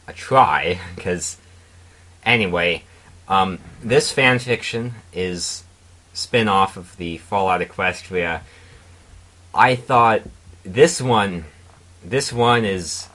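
Low-voiced man with a husky edge. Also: a bit bright. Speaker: narrator of a fan fiction reading. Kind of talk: casual intro and dramatic reading.

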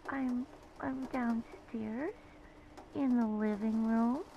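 A woman voicing a small, innocent, scared girl who talks haltingly in a video game. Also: a little high, fairly even intonation, warm tone. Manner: hesitant, halting. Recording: muffled phone call with faint noise.

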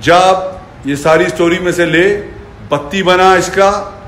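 A man's voice: high-pitched, fairly even intonation, slow, fairly bright tone, slight background noise. A man in an angry, taunting, sweary rant to his phone camera, recorded in an echoey living room. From India. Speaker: fitness personality. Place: furnished living room indoors. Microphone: phone at arm's length.

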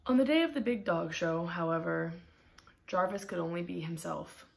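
A young adult woman reading a children's picture book aloud in a deliberate, expressive storytelling manner. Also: fairly low pitch, vocal fry. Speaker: storybook reader. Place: small room at home, indoors.

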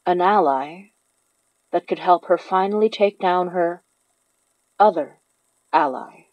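A woman's voice: on the low side, takes it slowly, dry recording, highs cut off. A woman reading scripted narration for a horror story. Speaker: narrator of a horror fiction podcast.

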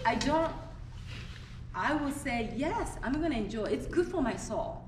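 A woman's voice: high-pitched, lively intonation, a little slow. A woman from Japan with a Japanese accent, speaking on a discussion panel. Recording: noisy echoey room, far from mic.

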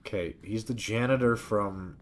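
A man's voice: on the low side, moderate pace, a little hiss or hum. A man giving casual reaction commentary as he streams a video game. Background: video game ambience.

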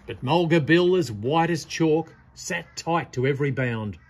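Guy reading a kids poem out loud, real dramatic, pitch up and down, husky voice. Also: animated, measured pace. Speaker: storyteller reading a picture book aloud. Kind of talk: expressive read-aloud poetry recitation. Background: birdsong.

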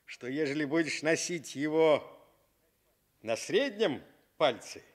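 An actor playing a kindly, teasing old soldier in a radio fairy tale, coaxing with deliberate phrasing. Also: male voice, fairly high pitch, animated.

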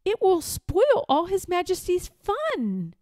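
A woman's voice: very high-pitched, huge pitch swings, slow pace, a little mellow, dry recording, studio quality, voice pushed up, exaggerated voice. A woman voicing a polite, timid young page boy in a children's story, speaking in a hesitant, earnest way. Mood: timid protest. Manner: hesitant, stammering, gasping.

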